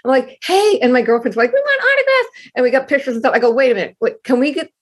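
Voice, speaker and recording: Raspy-voiced woman telling wild stories. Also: sits high, fast. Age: 50s. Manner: animated, swearing freely, acting out quotes. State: scratchy voice from karaoke. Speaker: guest masseuse. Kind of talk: casual storytelling.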